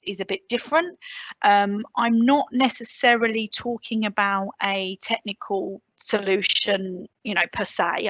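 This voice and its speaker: A woman's voice: mid-range voice, fairly bright tone, no room sound, muffled recording. London woman presenting on a video call. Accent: London English. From England. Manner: chatty, filler-heavy, self-deprecating asides. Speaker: conference presenter. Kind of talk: online conference presentation. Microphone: computer mic on a video call.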